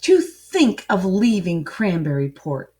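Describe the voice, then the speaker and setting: A woman reading a children's picture book aloud as a storyteller, expressively and with deliberate phrasing. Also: lowish voice, sing-song, dark tone.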